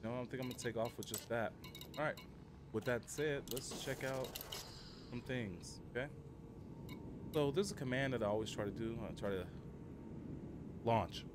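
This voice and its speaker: A man's voice: mid-range voice, moderate pace, pauses often, noisy background. A man livestreaming a video game, chatting casually and giving short spoken commands. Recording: loud game music behind the voice.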